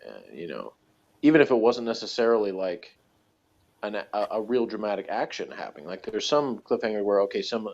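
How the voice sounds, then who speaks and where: A man with a low-pitched voice talks through a review in casual chat. He rambles and hesitates, often letting thoughts trail away. The recording is dull and lo-fi. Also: very animated, fairly quick, gravelly, a little mellow. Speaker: podcast reviewer.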